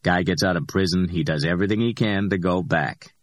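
A man voicing a calm, steady man who speaks in level, clipped threats. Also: sits low, fairly quick, no room sound, close to an everyday voice. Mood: firm.

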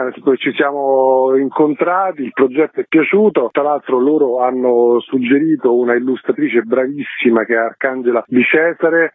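A man's voice: medium pitch, no room sound. A middle-aged man, an author being interviewed on radio, explaining at length in a reflective, discursive way. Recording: dry narrowband phone-like line.

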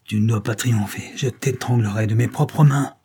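A man reading a story, voicing a wounded, vengeful male villain who snarls and shouts curses. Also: fairly low pitch, normal speed, slight background noise, close to an everyday voice. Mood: furious.

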